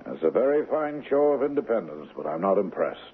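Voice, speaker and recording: A man's voice: lowish voice, very animated, brisk, slightly rough, full character voice. An actor playing a domineering, brusque middle-aged millionaire father, curt and dismissive, in a 1970s radio drama. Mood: scornful, commanding. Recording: muffled, noisy 1970s radio broadcast.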